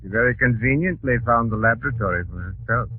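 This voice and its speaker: A man's voice: mid-range voice, fast talker, close to an everyday voice. A man playing a suave, cold, commanding mad scientist in a 1940s radio drama, with an American accent and mock-courteous, ominous delivery. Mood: smooth menace. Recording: tinny 1940s radio broadcast, music.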